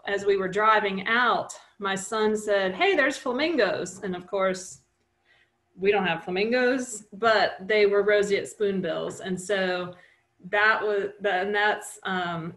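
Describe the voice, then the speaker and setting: Chatty woman on a roomy video call. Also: medium pitch. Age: middle-aged. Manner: relaxed, chatty, many fillers and restarts. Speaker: wildlife nonprofit director presenting a webinar. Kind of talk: casual presentation on a video call.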